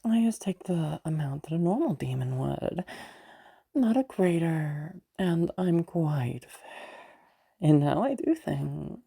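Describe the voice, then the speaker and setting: A woman playing a sly, teasing female demon of lust in an audio roleplay, bargaining patiently in a breathy, creaky voice with halting pauses and whispers. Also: sits low, expressive, dark tone, exaggerated voice. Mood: smug, bargaining.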